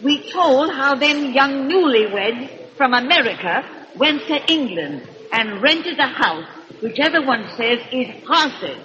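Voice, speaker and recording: A woman's voice: sits high, sing-song, unhurried, crowd noise. An actress playing a gleefully macabre, cackling witch of a hundred and eleven, telling horror tales in rustic folksy speech on old-time radio. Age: 111, very old. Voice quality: cracked, cackling old crone voice. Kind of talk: 1930s radio horror storytelling. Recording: muffled old radio recording.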